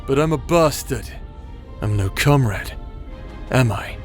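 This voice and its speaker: A man's voice: medium pitch, lively intonation, takes it slowly. A man playing a brash, foul-mouthed warrior in an audio roleplay, sarcastic and taunting, with music behind him. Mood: sardonic, wary. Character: cocky, foul-mouthed male warrior, self-declared bastard, brash and mocking. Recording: voice over background music.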